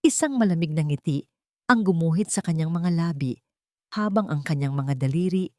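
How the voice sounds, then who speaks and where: A woman with a Filipino accent narrating a scripted romantic drama in an expressive, emotive storytelling manner. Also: low-pitched, no echo, studio quality.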